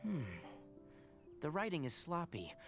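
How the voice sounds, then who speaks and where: Game role: composed young male scholar, low for a female voice, lively intonation, measured and analytical, music underneath. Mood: pondering. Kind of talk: scripted game dialogue, explanatory.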